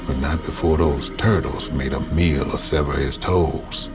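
A man's voice: very deep voice, steady tone, unhurried, loud music behind the voice. An actor playing a folksy Southern man telling grim memories in a matter-of-fact way for an audio drama. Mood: grimly folksy. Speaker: narrator telling his story to an interviewer. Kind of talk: audio drama first-person storytelling.

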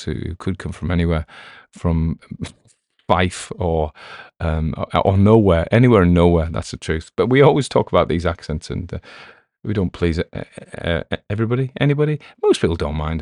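Deep-voiced rambling man from Cumbria. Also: a little mellow, dry recording, studio quality. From Cumbrian coast, England. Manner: rambling, halting, many fillers and restarts. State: recovering from a cold. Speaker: story reader and podcast host. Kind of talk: casual spoken commentary between readings. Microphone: headphones on, home recording.

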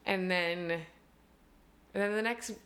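A woman's voice: mid-range voice, slow pace, a crowd in the background. A young woman being interviewed as a guest, chatty and casual, with plenty of fillers and frequent laughs. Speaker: costume designer, guest.

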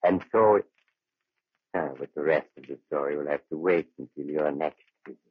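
Sinister, genial horror host. Very low, husky, wry, with savored pauses; dry, phone-like old radio sound. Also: male voice, no echo, heavily acted. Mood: sly, inviting. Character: macabre male doctor storyteller, genially sinister host.

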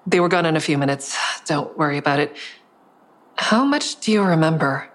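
A woman's voice: deep voice, moderate pace, close to an everyday voice. An actress playing a sleepless, guarded woman in an audio drama, confiding and understated as she narrates and talks. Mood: guarded concern. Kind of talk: audio drama first-person narration and dialogue.

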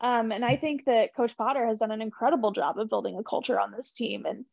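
A woman's voice: on the high side, fairly even intonation, fast, gravelly, a little mellow, slightly rough, no room sound, highs cut off. A young woman speaking as a podcast guest from a team's communications staff, chatting in an upbeat, earnest way. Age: young adult. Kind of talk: casual interview chat.

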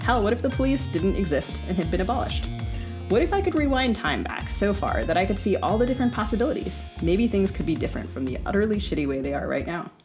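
Woman podcast host, talks quick, very lively pitch, emphatic, music behind her. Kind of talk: scripted podcast introduction.

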